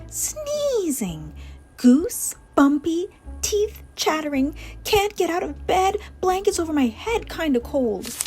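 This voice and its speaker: A woman's voice: high-pitched, darkish tone. A woman narrating a children's storybook as host, with playful, exaggerated emphasis on descriptive words. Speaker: children's read-aloud host. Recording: music playing behind the voice. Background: music.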